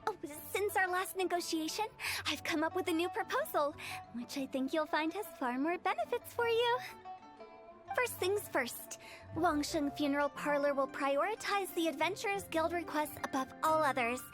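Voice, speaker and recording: Playful young female funeral director, very high voice, teasing, macabre sales patter, game voice acting. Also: lively intonation, normal speed, faint music behind.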